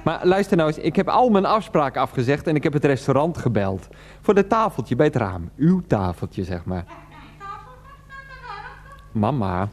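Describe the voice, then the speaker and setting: A flustered grown son in a radio play. His pitch leaps about as he talks to his mother, pleading in halting, repeated bursts, exasperated and insistent. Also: big pitch swings. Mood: apologetic, exasperated.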